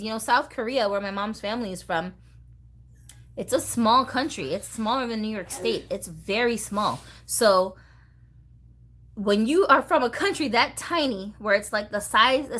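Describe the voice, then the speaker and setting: A middle-aged woman from the US East Coast hosting a casual vlog, talking to viewers in an expressive, slangy, chatty way. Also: a little high. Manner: animated, slangy, expressive, addresses viewers directly. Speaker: host of a doll collecting channel.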